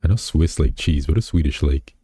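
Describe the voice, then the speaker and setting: Very deep, husky man chatting on stream. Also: fairly quick, warm tone, no room sound. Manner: rambling, self-deprecating, many fillers and restarts. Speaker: VTuber streamer. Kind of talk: casual live-stream chat, reading chat aloud.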